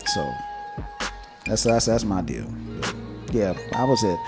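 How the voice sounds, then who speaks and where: A man's voice: on the low side, big pitch swings, slow, over music. A man co-hosting a podcast in casual, unscripted chat, colloquial and often repeating his phrases. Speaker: podcast co-host.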